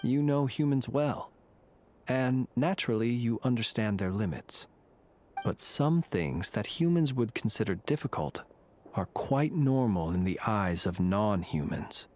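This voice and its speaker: An actor playing a courteous, secretive lantern keeper in a video game, speaking in a formal, measured, wry way. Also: male voice, mid-range voice, animated, darkish tone, slight background noise, lightly acted. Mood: candid, measured. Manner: formal, measured, dryly ironic.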